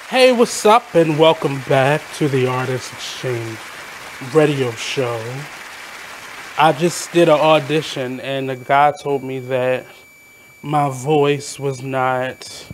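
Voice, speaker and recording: A man in his thirties from Baltimore with a naturally high voice, hosting his radio show in a candid, blunt, reflective monologue. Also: slow pace, slight background noise, rough recording. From Baltimore, USA. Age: 30s. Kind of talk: casual monologue.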